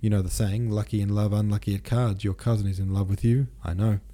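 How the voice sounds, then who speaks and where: Taunting male card player for an audiobook read by a man: curt, cold, lowish and very warm-toned. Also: little pitch movement, quick pace, lightly acted. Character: cold, taunting male gambler collecting a debt. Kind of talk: audiobook character reading.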